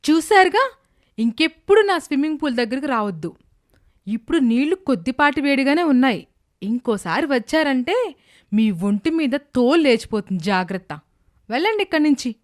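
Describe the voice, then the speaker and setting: A woman's voice: sits high, big pitch swings, brisk. A woman voicing a haughty, spiteful rich village woman with an imperious, scolding manner in a children's cartoon tale. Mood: gloating, threatening. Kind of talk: children's animated moral tale dubbing.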